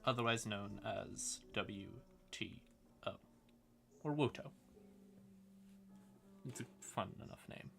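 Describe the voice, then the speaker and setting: Bright-voiced man streaming a casual explainer. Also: on the low side, slow, creaky, pauses often. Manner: folksy, uses y'all and howdy. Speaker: streamer hosting a livestream. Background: music quietly in the background.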